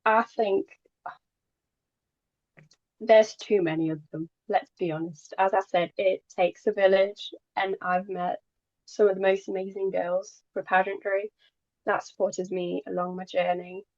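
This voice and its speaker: Podcast guest: young woman, Polish native speaker, casual and halting delivery, mid pitch, dry recording. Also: little pitch movement, a little mellow, no room sound, highs cut off. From Poland, moved to England at ten. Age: young adult. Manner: halting, occasional stumbles over words. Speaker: podcast guest, pageant queen. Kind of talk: casual interview chat.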